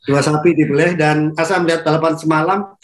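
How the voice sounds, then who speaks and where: A man's voice: on the high side, fairly even intonation, fairly quick, reverberant. A man with a nasal, stuffed-up voice co-hosting a casual motor racing chat, playful and full of puns, speaking from a hotel room. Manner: playful, punning, chuckles often. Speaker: podcast co-host.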